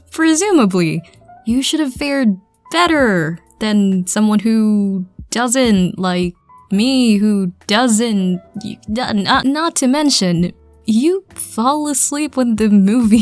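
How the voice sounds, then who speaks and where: A woman narrates a fan-made audio drama and speaks the listener's lines herself. She talks slowly, stumbling over words with nervous little laughs. Soft music runs quietly in the background. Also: a little high, no room sound. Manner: stammering, laughing self-consciously.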